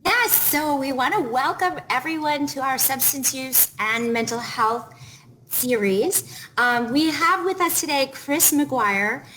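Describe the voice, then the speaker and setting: A woman's voice: sits high, slow pace, pushed and bright, a little hiss or hum, poor audio. A woman co-hosting a live video call interview, encouraging and leading her guest with questions. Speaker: co-host from a recovery center. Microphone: video call webcam.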